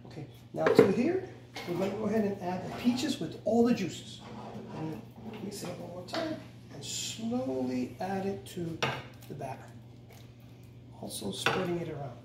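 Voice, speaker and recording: A middle-aged man hosting a home cooking show, talking through each step in a relaxed, instructive way and often correcting himself. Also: sits high, normal speed, creaky. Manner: relaxed, instructive, self-correcting, repeats "go ahead and". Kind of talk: casual step-by-step cooking demonstration. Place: large bright home kitchen, indoors. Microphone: camera mic from across the kitchen. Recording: roomy, distant, noisy kitchen audio.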